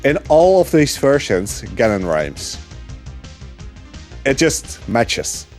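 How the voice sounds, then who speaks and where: A man's voice: medium pitch, big pitch swings, slow. A young man chatting casually while speedrunning a game, full of fillers and small laughs. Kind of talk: casual commentary while gaming. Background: game music.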